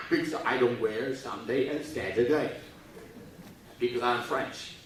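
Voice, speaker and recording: A bright, animated middle-aged man, joking and teasing his way through a speech to a youthful crowd. His voice swings widely in pitch as he coaxes the audience to answer back. It rings through a big echoey hall, heard from some distance, with a faint hum of room noise. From Taiwan. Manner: playful, teasing, prods the audience to respond. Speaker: speaker at a youth forum.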